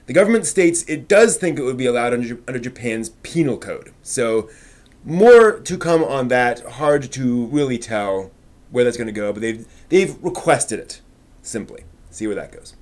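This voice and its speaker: A man presenting news as a live stream host in an informal way, with somewhat halting delivery. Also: mid-range voice, lively intonation, darkish tone.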